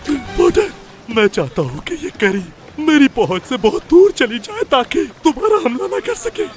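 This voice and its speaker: A man's voice: extremely high pitch, big pitch swings, normal speed, soft background music. A man voicing a boastful, theatrical ship captain in a cartoon dub, pompous and dramatic. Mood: distressed, dramatic. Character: boastful, theatrical male ship captain telling tall tales. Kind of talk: Hindi cartoon dub acting.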